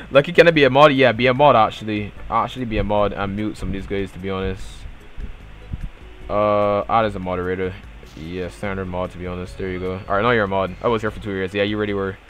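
A man's voice: medium pitch, fairly bright tone. A young man with a Bajan accent, chatting casually on a live game stream and answering his chat in loose, slangy banter. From Barbados. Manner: laid-back slangy banter, trailing off into mumbled fillers. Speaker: gaming livestreamer.

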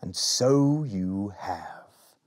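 A man voicing a tiny, proud, wise magical lizard from a storybook, slow and deliberate. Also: on the low side, lively intonation, darkish tone. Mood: haughty, knowing.

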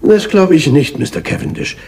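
An actor playing a formal, deliberate official who questions witnesses in a radio crime drama. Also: male voice, on the high side, animated, gravelly, darkish tone. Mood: formal, probing. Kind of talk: German detective radio drama acting.

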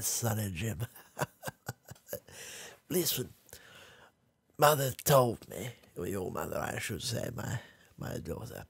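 A young man voicing a confused, rambling old grandfather with a gravelly, husky voice, in a comedy ASMR roleplay recorded close in a small dark room. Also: medium pitch, dry recording, full character voice. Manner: rambling, halting, trailing off, chuckling. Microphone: close studio mic, camera near face.

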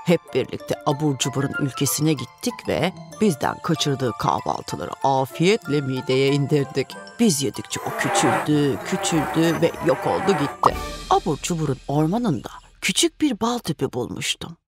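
Brief: a lively grandmother, low-pitched, steady narrating tone. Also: normal speed. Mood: calm. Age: older. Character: energetic old grandmother, storyteller. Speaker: narrator of her tale. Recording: studio voice with music.

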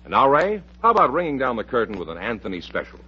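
Husky, lively American radio announcer, male. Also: mid-range voice, fast. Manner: polished, promotional delivery. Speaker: radio show announcer and host. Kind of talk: mid-century American radio announcing, scripted. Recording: dull, band-limited vintage radio recording.

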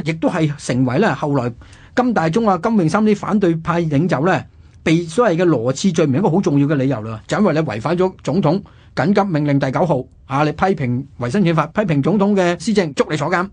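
A man's voice: on the high side, animated, fast talker, darkish tone, husky. A man with a Hong Kong Cantonese accent narrating history conversationally as a radio host, colloquial and explanatory, asking and answering his own questions. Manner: colloquial, explanatory, with exclamations and self-posed questions.

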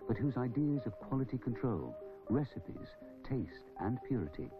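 A man presenting a scripted corporate film in the manner of a narrator. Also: fairly low pitch. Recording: dull old film soundtrack, music.

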